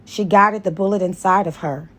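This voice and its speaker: A woman's voice: medium pitch, steady tone, normal speed, a bit bright. A woman reading a story aloud as scripted audiobook narration. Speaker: audiobook reader.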